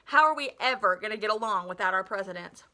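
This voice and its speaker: A woman's voice: mid-range voice, lively intonation, quick pace, pushed and bright. A woman voicing a scheming schoolboy in a storybook who proclaims theatrical, fake grief. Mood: earnest. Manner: melodramatic, proclaiming.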